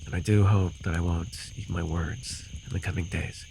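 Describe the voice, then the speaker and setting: A man playing a gruff but caring, easily flustered fantasy forest guide in an immersive ASMR roleplay, speaking hesitantly and soothingly. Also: sits low, fairly even intonation, moderate pace, background noise. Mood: wistful, musing. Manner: hesitant, soothing, sighing, teasingly defensive.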